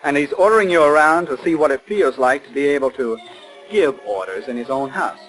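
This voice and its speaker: An actor playing a glib, bitter fortune-hunting fiancé, sarcastic and casual, in 1940s radio style. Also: male voice, a little high, expressive, brisk, fairly bright tone, poor audio. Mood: mocking. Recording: 1940s radio broadcast, faint music.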